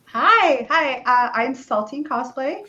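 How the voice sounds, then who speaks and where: A woman's voice: sits high, expressive, takes it slowly, bright, forceful tone, highs cut off. A Canadian woman and guest cosplayer chatting on a video call in a chirpy way. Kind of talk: casual group chat.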